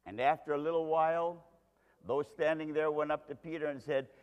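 A man's voice: a little high, fairly even intonation, moderate pace, muffled recording. A man preaching a sermon to a congregation, telling the story dramatically and with strong emphasis. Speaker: pastor. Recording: long hall reverb.

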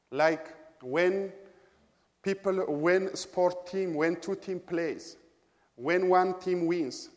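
A man from Ethiopia whose first language is Amharic, preaching a sermon as a guest preacher in a church, speaking with an Ethiopian accent in a measured, halting way with frequent pauses. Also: on the high side, in a large hall. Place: church sanctuary.

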